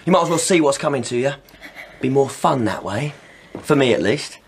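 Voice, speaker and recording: An actor playing a menacing young deserter, husky, taunting and sneering in a radio drama. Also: male voice, medium pitch, lively intonation, moderate pace, a little hiss or hum, close to an everyday voice. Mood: gloating. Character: menacing young male army deserter with a gun.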